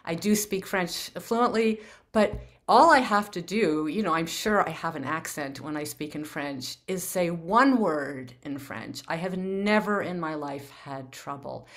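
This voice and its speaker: A woman's voice: on the low side, measured pace, hardly any pauses, fairly bright tone. A woman from Canada hosting a community video call, talking in an effusive, earnest way. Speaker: meeting host. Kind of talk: casual group video call.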